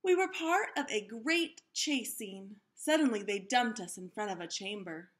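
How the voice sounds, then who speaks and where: Animated woman reading a children's storybook aloud. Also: medium pitch. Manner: animated, pauses to question and explain to young listeners.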